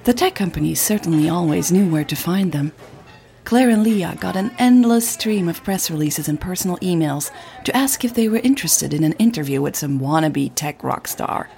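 A woman's voice: lowish voice, lively intonation, moderate pace, creaky, mellow. A woman narrating scripted audio fiction as a podcast host.

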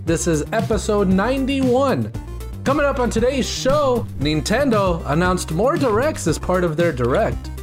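A man's voice: high-pitched, moderate pace, over music. A man with a Miami accent hosting a casual video game podcast, rambling and joking with his co-hosts. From Miami, United States. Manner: joking, rambling, lively delivery. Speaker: podcast host.

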